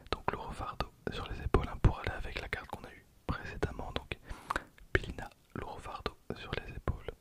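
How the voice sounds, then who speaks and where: A man in his late twenties whispering ASMR commentary softly and unhurriedly, close to the microphone. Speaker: ASMR video creator opening trading cards. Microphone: close handheld stereo recorder.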